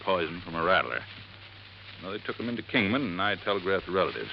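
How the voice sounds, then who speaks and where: A man's voice: sits low, sing-song, quick pace, gravelly, heavily acted. An actor playing a folksy resort handyman in a 1950s radio drama, talking in a rambling, plainspoken drawl. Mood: earnest, folksy. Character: folksy middle-aged male desert resort handyman. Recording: muffled old radio recording with faint hiss.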